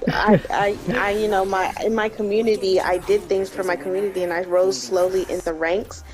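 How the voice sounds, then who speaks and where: A woman's voice: mid-range voice, little pitch movement, moderate pace, a little mellow, low-quality recording. A woman playing a shooter game with friends, chatting in a laid-back, casual way. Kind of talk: casual gameplay chat with friends.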